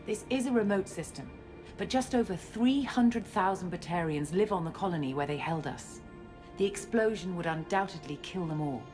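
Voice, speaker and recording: A woman playing a guarded, self-assured scientist and space operative in a video game, explaining things in a measured way. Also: lowish voice, expressive, lightly acted. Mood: composed, explaining. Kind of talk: video game cutscene acting. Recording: music under the dialogue.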